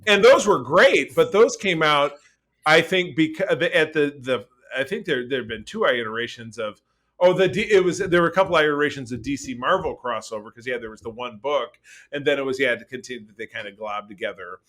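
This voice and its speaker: A man co-hosting a casual pop culture podcast, talking in a halting, rambling, informal way. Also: medium pitch, lively intonation, a bit bright. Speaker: podcast co-host.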